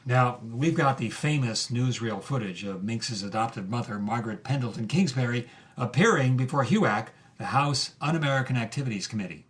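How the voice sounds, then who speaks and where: An actor playing an earnest, scholarly podcast host, narrating a scripted documentary drama with measured, emphatic phrasing. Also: male voice, mid-range voice, close to an everyday voice. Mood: earnest, engaged.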